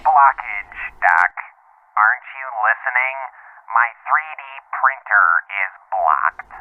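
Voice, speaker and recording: An actor playing a chummy, clueless, easily exasperated pest of a caller, heard through a telephone filter. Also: male voice, medium pitch, expressive, takes it slowly. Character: pestering, clueless man calling an emergency hotline. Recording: narrow tinny phone line.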